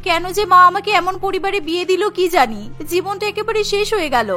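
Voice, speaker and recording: Very high bright voice, weary young housewife, put-upon, complaining, cartoon drama, acted by a woman, music underneath. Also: lively intonation, quick pace, heavily acted. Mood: weary, resentful. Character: overworked, put-upon young daughter-in-law, weary and resentful. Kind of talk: cartoon voice acting.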